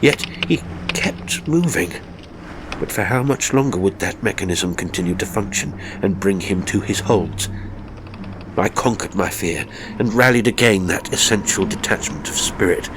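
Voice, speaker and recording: Guy doing a grim seasoned climber telling a spooky story, formal n intense, real slow, some noise behind. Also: on the low side, lively intonation. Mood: grim, tense. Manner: measured, formal, gravely intense. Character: seasoned male mountaineer, haunted, grimly recounting a fatal climb. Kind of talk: dramatic first-person horror storytelling.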